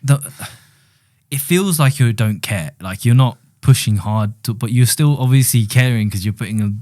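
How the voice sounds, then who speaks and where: A man's voice: mid-range voice, moderate pace, a little mellow. A man, co-hosting a podcast in laid-back, easily amused chat. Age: 30s. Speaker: podcast co-host. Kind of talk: casual chat.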